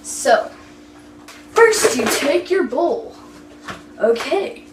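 A woman's voice: sits high, sing-song, drawn-out words, distant mic. A young woman hosting a playful, chatty cooking demonstration in a goofy, teasing, giggly manner. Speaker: vlogger hosting a cooking video. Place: kitchen. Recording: echoey, noisy room, off mic.

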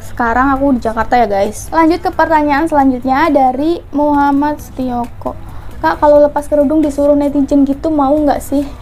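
A woman's voice: high voice, fairly even intonation, moderate pace, a little mellow, a little hiss or hum. A woman in her twenties from Central Java, chatting casually with her viewers and answering their questions on a clip-on mic at home. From Central Java, Indonesia. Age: 20s. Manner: chatty, often tags lines with "guys". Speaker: mukbang vlogger. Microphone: clip-on lapel mic.

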